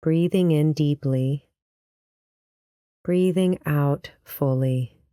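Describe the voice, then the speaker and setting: Slow, low-voiced woman guiding meditation. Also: clear, resonant tone, dry recording, studio quality. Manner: gentle, soothing, unhurried. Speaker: meditation teacher. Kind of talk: guided meditation narration.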